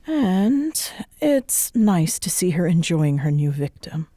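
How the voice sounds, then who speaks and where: Roleplay audio drama: prickly young female roommate played by a woman, unhurried, halting, defensive delivery with a soft side, very dry recording. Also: on the low side, sing-song, dry recording, exaggerated voice. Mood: stern, guarded.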